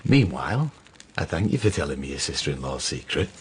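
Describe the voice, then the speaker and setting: An actor playing a bitter, brooding lover in a gothic period radio drama, speaking with contempt and menace. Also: male voice, extremely low pitch, sing-song, fairly quick, slight background noise. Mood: bitter, wounded.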